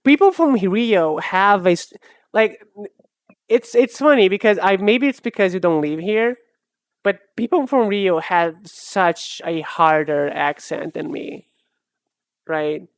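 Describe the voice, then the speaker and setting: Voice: medium pitch, slow pace, clean tone, no echo, clean studio sound. A streamer from Brazil who speaks with a Brazilian accent and has Portuguese as a first language. They chat casually with a live chat, musing and hesitant, often restarting their sentences. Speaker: art streamer.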